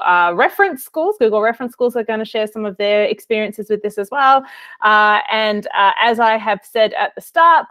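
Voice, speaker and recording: A woman's voice: medium pitch, no echo. A woman in her thirties with an Australian accent, hosting an online webinar in a chatty, filler-heavy way over a video call. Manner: chatty, friendly, lots of um and uh. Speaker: webinar host. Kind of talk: casual presenting with many fillers.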